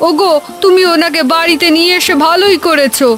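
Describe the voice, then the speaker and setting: A woman playing a caring, reassuring village wife and mother in an animated cartoon story. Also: extremely high pitch, brisk, soft background music. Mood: concerned, welcoming. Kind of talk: animated story character dialogue.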